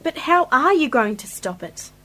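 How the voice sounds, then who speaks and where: Actress as earnest young niece and companion: high-pitched, lively intonation, questioning and anxious. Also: female voice, normal speed, exaggerated voice. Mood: curious, worried. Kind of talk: science fiction radio play acting.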